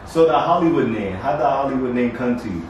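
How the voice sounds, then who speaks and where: A man's voice: medium pitch, lively intonation. Male podcast host in an echoey room. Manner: short questions and quick affirmations. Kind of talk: casual interview. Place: kitchen table in a home room. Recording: echoey room from a distance with noise.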